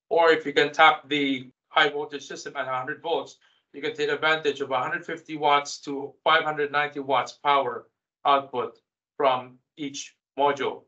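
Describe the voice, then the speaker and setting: Bright-voiced man presenting, slightly dull audio. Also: on the high side. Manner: halting, hesitant delivery with repeated words. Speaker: product specialist presenting a webinar. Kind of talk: technical product webinar presentation.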